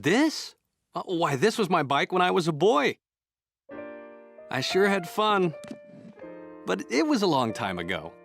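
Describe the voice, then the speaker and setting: A man voicing a kindly, encouraging grown-up guardian in a children's cartoon, gently instructive in manner. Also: high-pitched, sing-song, a little slow, vocal fry, long pauses, heavily acted. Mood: fond. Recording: music behind the voice.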